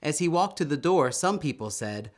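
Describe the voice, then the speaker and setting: Animated male children's storybook reader. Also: on the high side. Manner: expressive, emphatic storytelling with drawn-out words. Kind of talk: read-aloud children's story narration.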